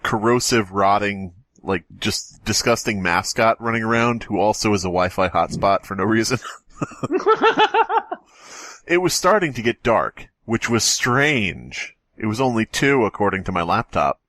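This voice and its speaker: Animated, low-pitched man, dry close sound. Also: a little slow, no room sound. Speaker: podcast co-host. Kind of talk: casual joking banter and reading a story aloud.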